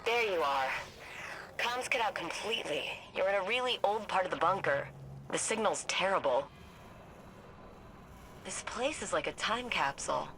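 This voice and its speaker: A woman playing an earnest, thoughtful sci-fi ally in a video game, with a measured, dramatic and reflective delivery. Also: mid-range voice, lively intonation, noisy background, lightly acted. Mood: curious, focused. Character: earnest, thoughtful female sci-fi ally guiding a soldier by comms. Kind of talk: scripted video game dialogue and storytelling. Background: prominent music.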